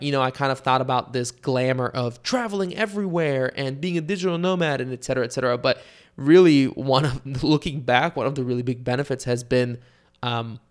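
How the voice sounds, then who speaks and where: A young man from Bulgaria hosting a solo podcast and talking to his listeners in a relaxed, conversational way. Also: medium pitch, clear, resonant tone. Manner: conversational, with fillers and asides to listeners. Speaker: podcast host. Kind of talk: casual solo podcast monologue.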